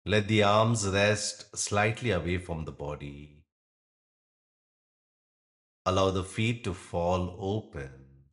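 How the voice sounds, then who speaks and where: Male meditation guide: deep register, very unhurried, gentle and soothing, measured pauses. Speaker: yoga nidra meditation guide.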